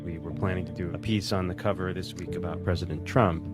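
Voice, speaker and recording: A man explaining a story as an editor in a produced video, articulate and measured. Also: deep voice, fairly even intonation. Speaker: magazine editor. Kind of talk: explanatory interview for a promotional video. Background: guitar music bed.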